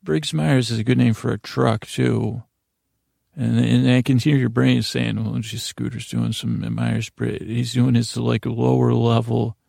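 Male podcast monologist, very gravelly, close dry sound, improvised and meandering, keeps interrupting himself. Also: mid-range voice, level tone, dark tone, no echo. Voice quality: gravelly, creaky. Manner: meandering, halting, self-interrupting. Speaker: podcast host.

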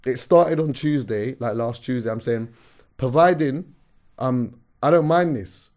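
Young man, hoarse voice, animated, slangy, podcast host. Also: medium pitch, measured pace. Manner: animated storyteller, slangy, calls everyone bro. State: hoarse, recovering from illness. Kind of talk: casual chat.

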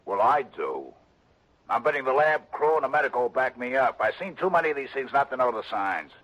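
An actor playing a cocksure, dismissive police sergeant with a blunt American delivery, in early sixties radio drama style. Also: male voice, medium pitch, sing-song, brisk. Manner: blunt, brusque. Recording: muffled vintage radio recording.

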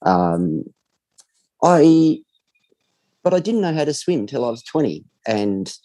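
Brief: man, 40s, mellow low voice, dry close sound, unhurried guest. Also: no echo, rough recording. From Australia. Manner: relaxed, reflective, frequent pauses. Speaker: podcast guest. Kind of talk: casual interview chat.

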